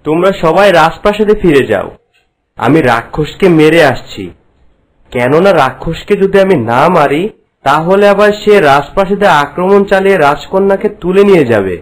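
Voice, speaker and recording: A man's voice: fairly high pitch, animated, normal speed. A man playing a brave, protective young hero in a fairy-tale cartoon, speaking earnestly.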